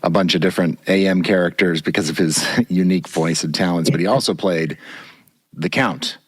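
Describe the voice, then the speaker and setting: A man's voice: deep voice, husky. A man hosting an interview podcast, chatting easily and laughing often while his guest talks. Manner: laughs often, affirms with quick yeahs. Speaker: podcast host. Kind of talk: relaxed interview chat.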